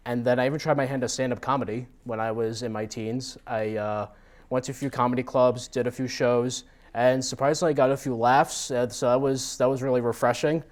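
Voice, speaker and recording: An American man from New Jersey giving an informal talk as a guest speaker, telling stories with self-deprecating animation. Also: mid-range voice. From New Jersey, United States. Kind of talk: informal autobiographical talk to a meetup audience.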